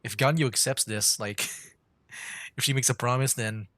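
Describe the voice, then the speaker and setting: A man's voice: mid-range voice, fairly even intonation, a little slow, bright, forceful tone, no echo. A man giving casual, chatty commentary as he streams a video game. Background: game music.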